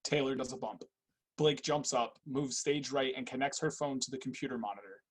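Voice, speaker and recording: A man with a husky voice reading stage directions aloud as scripted narration in a play reading. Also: mid-range voice, fairly even intonation, a little fast.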